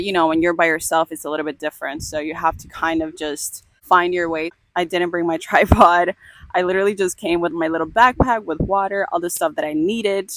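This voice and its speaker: A woman's voice: fairly low pitch, a bit bright, no echo. A young woman from Cuba talking candidly to camera outdoors by a beach, emotional and laughing often. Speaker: vlogger. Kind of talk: casual vlog to camera.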